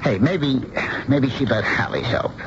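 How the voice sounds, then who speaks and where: An actor playing a reasonable, affectionate, mildly exasperated husband in a radio drama, coaxing and conversational. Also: male voice, mid-range voice, very animated, unhurried. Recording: dull, noisy old radio broadcast.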